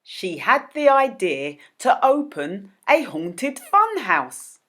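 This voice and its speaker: Slow, very animated woman hosting for children. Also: on the high side, very noisy. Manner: deliberate, expressive, addressing children. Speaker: host of children's read-aloud videos. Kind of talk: children's quiz presenting.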